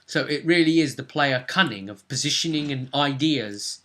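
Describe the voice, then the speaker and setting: A man's voice: mid-range voice, moderate pace, pushed and bright. A man chatting casually as a game master, retelling a role-playing session like a story.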